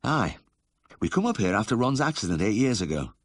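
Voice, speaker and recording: A man voicing a bedridden, cheerful ex-miner with a husky South Yorkshire accent in a story reading. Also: on the low side, big pitch swings, a little fast, dry recording, clean studio sound, voice pushed up. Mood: stoical, grateful. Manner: plain-spoken dialect, warm and uncomplaining.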